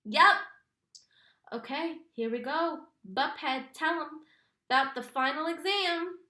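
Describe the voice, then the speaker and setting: A woman's voice: sits high, slow, bright, forceful tone, echoey room, pitched above the performer's own voice, heavily acted. A woman voicing a bossy, teasing teenage boy who turns to panicked pleading, read aloud in a storybook. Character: teenage boy, bossy older brother and prankster.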